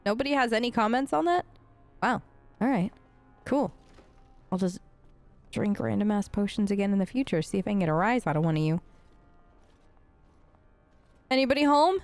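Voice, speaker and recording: A woman's voice: medium pitch, expressive, brisk, long pauses, darkish tone, dry recording, faint music behind. A woman hosting a role-play game let's play, talking casually in character with a hesitant, playfully sarcastic, conversational manner.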